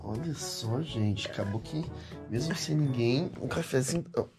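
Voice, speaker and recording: A man's voice: medium pitch, expressive, moderate pace, a little mellow, faint music behind. A sleepy man who has just woken up, mumbling drowsily from his bed in a bedroom, picked up by a camera held by someone else. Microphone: camera held by another person.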